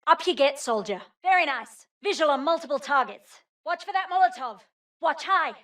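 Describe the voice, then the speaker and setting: A woman's voice: high voice, lively intonation, normal speed, a bit bright. A woman voicing an alert combat squadmate in a video game, calling out in a terse, commanding way.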